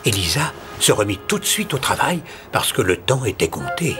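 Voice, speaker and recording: A man's voice: fairly low pitch, sing-song, normal speed. A man narrating a fairy tale as a storyteller, in a scripted reading. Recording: music behind the narration.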